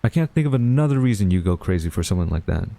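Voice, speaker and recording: Deep-voiced, grumbling tsundere college guy played by a man. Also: animated, vocal fry, warm tone, no room sound. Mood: accusing, grumbling. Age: young adult. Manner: flustered, grumbling, halting denials. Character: tsundere young male university student rival, defensive, secretly smitten. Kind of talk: romantic audio roleplay acting.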